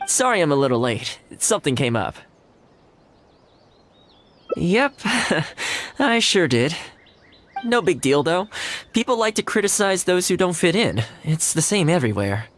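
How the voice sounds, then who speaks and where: An actor playing a friendly, easygoing young male retainer in a video game, talking in a relaxed, chatty way. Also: a little high, a little slow, long pauses. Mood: cheerful. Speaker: game character.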